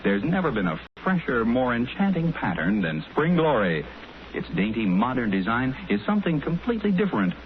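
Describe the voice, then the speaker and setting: An American man working as a folksy 1940s radio announcer, delivering commentary and sponsor pitches. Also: big pitch swings, fairly quick, slight background noise. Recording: thin, phone-like 1940s radio broadcast.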